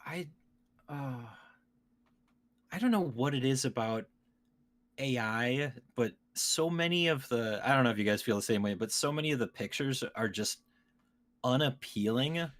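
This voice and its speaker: A man's voice: medium pitch, lively intonation, unhurried, long pauses. A man co-hosting a podcast, chatting casually and often restarting his sentences. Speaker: podcast co-host. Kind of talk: casual banter.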